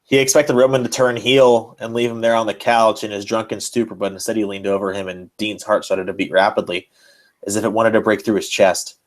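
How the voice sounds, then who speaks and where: A man's voice: lowish voice, little pitch movement, brisk. A man hosting a podcast, giving a mock-serious dramatic reading of fan fiction. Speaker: podcast host.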